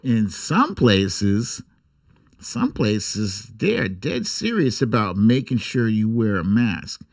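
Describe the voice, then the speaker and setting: An American man hosts a casual online show. He takes his time with his words, and his pitch moves around in a lively way. His talk is informal and jokey, with a little mild swearing. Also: slow pace, vocal fry. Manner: informal, jokey, mildly profane. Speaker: host of an online livestream show. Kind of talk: casual livestream chat and commentary.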